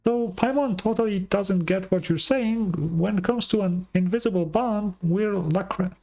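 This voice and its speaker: A woman voicing a tiny, excitable, childish fairy companion in a video game, squeaky and cheeky. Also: measured pace. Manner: talks of herself in third person, pouty.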